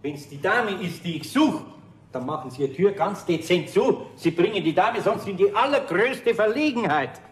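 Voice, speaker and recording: A gruff but good-natured older Bavarian carpenter. His voice sits a little high for a man, and he talks in a blunt, folksy way, in the manner of a 1970s radio play. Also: animated, moderate pace. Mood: indignant.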